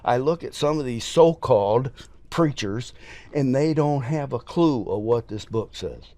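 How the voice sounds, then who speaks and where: A man's voice: medium pitch, animated, a little slow, dark tone. A man, a preacher talking earnestly and emphatically as a podcast guest. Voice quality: scratchy voice. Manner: earnest, emphatic, folksy storytelling. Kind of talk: casual interview talk.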